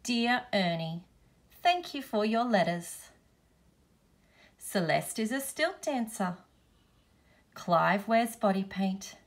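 Slow-paced woman reading a picture book. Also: mid-range voice, expressive. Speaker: storybook reader, teacher. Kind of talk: children's picture book read-aloud.

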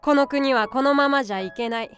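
A woman's voice: high-pitched, fairly quick, fairly bright tone, faint music behind. A woman voicing a small boyish unicorn guardian beast in an anime-style voice drama, speaking as a composed, knowing mentor. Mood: calm, grave.

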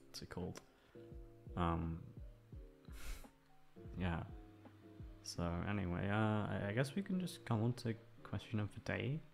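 A man's voice: sits low, gravelly. A man chatting casually to his listeners in a hesitant, rambling, self-deprecating way, swearing freely, a little back from the microphone. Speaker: ASMR voice actor and channel host. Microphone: microphone, sitting a little back from it. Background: soft guitar music.

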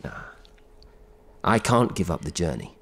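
An actor playing a devoted, earnest film director's assistant in a radio drama, reflective and halting. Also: male voice, deep voice, big pitch swings, fairly bright tone, breathy edge, heavily acted. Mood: quietly insistent. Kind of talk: naturalistic radio drama monologue and dialogue.

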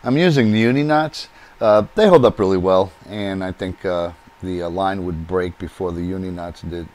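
A man's voice: deep voice, moderate pace, darkish tone, slight background noise. A man hosting a do-it-yourself video, explaining each step as he works with his hands at a workbench in his home workshop. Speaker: DIY channel host. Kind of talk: hands-on how-to demonstration.

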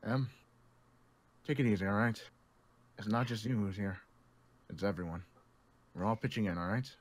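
Man playing a low-voiced, calm survivor leader. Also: moderate pace, close to an everyday voice. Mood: gently firm. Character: calm, responsible young male leader of survivors. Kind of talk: improvised Minecraft zombie roleplay.

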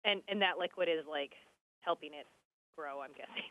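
A woman podcast interviewer asking casual, informal questions with halting phrasing. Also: low voice. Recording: dull, band-limited remote link.

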